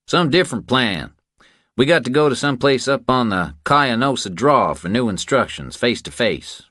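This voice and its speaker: A hired watcher in a crime audiobook, voiced by a male narrator, explains the job to his partners. The voice is lifted above the reader's usual low register, in a dry recording. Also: animated, moderate pace, fairly bright tone, no room sound. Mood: matter-of-fact, insistent. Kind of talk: audiobook character dialogue.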